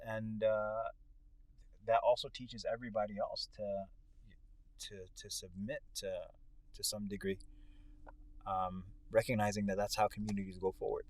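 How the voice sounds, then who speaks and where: A man's voice: on the low side, little pitch movement, normal speed, long pauses, no room sound, rough recording. A man in a casual, reflective religious discussion, explaining his points and building them by repeating phrases. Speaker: co-host and speaker on a ride-along talk show. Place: inside a moving car. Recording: in-car recording with clear vehicle noise.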